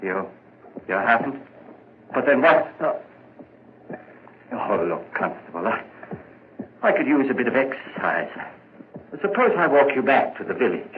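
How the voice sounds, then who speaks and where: An actor playing a guilt-ridden, nervous murderer in an old-time radio mystery, halting and melodramatic. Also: male voice, medium pitch, sing-song. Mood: jittery. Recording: muffled, noisy vintage radio recording.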